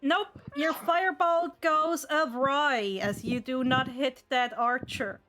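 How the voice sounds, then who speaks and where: A woman's voice: sits high, steady tone, slow pace, sharp tone. A woman narrating and refereeing a tabletop game as game master.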